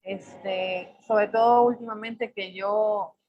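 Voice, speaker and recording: A woman in her forties from El Salvador, with a Salvadoran accent tinged with Mexican, speaking as a poet on a video call. She talks thoughtfully, searching for words and filling pauses often. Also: mid-range voice, steady tone, dull audio. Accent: Salvadoran Spanish mixed with Mexican. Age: 40s. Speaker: poet on a panel. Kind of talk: reflective personal talk.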